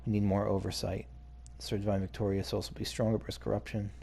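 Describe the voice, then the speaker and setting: Gravelly, low-voiced man thinking aloud. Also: little pitch movement, quick pace, warm tone, a little hiss or hum. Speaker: gaming video host. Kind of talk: casual playthrough commentary.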